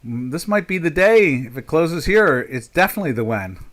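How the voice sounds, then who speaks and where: A man's voice: a little high, sing-song, moderate pace, a bit bright. A man in his sixties from South Jersey, with its accent, hosting a live trading chat and thinking aloud in a rambling, chatty way with lots of fillers. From Pinelands of South Jersey. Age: 60s. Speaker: market trader and stream host.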